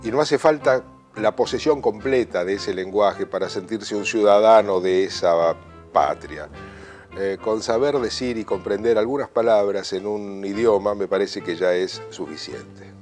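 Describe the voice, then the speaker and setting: A man's voice: fairly low pitch, a little slow, a little mellow, soft background music. A man reflecting thoughtfully in an interview.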